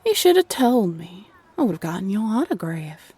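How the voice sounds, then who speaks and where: A woman's voice: medium pitch, big pitch swings, normal speed, mellow, breathy edge. A woman voicing a teasing invisible female spirit, a playful, sly voice in the head, in a roleplay audio drama.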